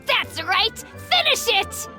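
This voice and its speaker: A woman's voice: very high voice, sing-song, slow, bright, forceful tone, faint music behind, full character voice. A woman playing an eager, innocent, competitive little boy in an anime video game, with a childlike, earnest voice. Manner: earnest, exclamatory.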